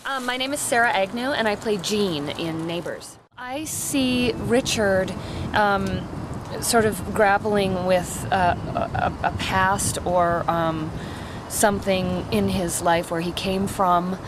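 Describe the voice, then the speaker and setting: A woman, a stage actress, giving a reflective interview in a thoughtful, halting way as she searches for words. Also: medium pitch, background noise.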